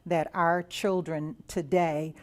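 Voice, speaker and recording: A woman's voice: on the low side, steady tone, slow pace, darkish tone. An American woman, an event chairperson, explaining her purpose as an interview guest.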